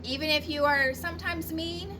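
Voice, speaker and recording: A woman's voice: sits high, fairly even intonation, moderate pace, recorded from a distance, noisy background. A woman reading a children's story aloud expressively, speaking directly to young listeners with questions and asides. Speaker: teacher reading a picture book aloud.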